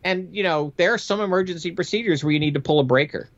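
Middle-aged American male, veteran aviator as interview guest. Higher-set voice, conversational and matter-of-fact, slightly boxy lo-fi sound. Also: fast talker. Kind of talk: conversational storytelling.

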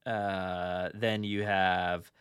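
A man's voice: deep voice, level tone, extremely slow, fairly bright tone, smooth, no echo, clean studio sound. A man hosting a podcast, chatting casually and enthusiastically, animatedly acting out his own reactions. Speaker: podcast host.

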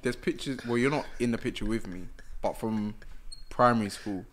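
Young guy, lowish voice, relaxed and wry, podcast co-host. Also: moderate pace, a little hiss or hum. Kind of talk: casual chat.

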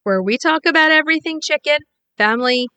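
Bright, chatty woman, slightly congested; an upbeat podcast co-host at a studio table. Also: high-pitched, expressive, fairly quick. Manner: chatty, upbeat, laughs easily. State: congested, recovering from illness. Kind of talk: casual chat. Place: small home studio, at a table.

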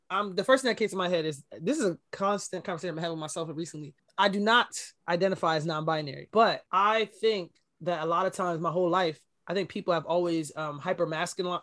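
Woman w a deep voice, podcast cohost, chatty and open, tells stories with a lot of life. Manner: candid, animated storytelling with laughs. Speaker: podcast co-host. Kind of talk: casual chat.

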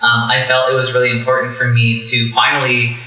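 A young man, a drag performer, talking candidly on a podcast with lots of fillers and animated storytelling. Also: mid-range voice, brisk, far from the mic. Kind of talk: casual podcast conversation. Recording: echoey room, away from the mic.

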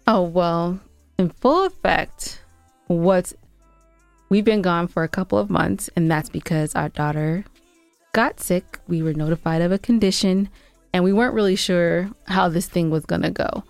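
A woman's voice: fairly low pitch, a little slow, gravelly, clean tone, no echo, soft background music. An American woman co-hosting a podcast, chatting casually and sharing show updates on a close microphone. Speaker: podcast co-host.

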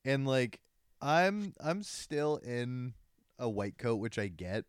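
Smooth-voiced crude young podcast co-host. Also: male voice, mid-range voice, big pitch swings, slow, dry recording, studio quality. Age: 20s. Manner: crude, joking, laughs often. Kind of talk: casual chat.

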